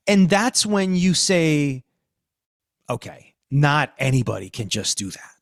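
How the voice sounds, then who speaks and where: A man's voice: on the high side, very animated, takes it slowly, dry recording, clean studio sound. A man hosting a podcast discussion as a cultural critic, speaking in an effusive, emphatic way with repeated phrasing.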